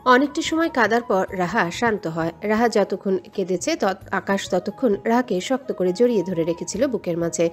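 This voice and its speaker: Casting: female narrator for scripted romantic fiction, mid-range pitch, music bed underneath. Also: lively intonation, moderate pace, few pauses. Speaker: story narrator.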